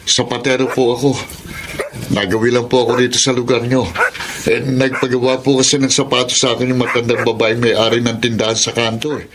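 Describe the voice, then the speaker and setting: A man's voice: mid-range voice, monotone, a little fast, close to an everyday voice. Meek older male cobbler played by an actor, Filipino radio drama, apologetic, mid-range voice, faintly noisy audio. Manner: deferential, meek, forgiving.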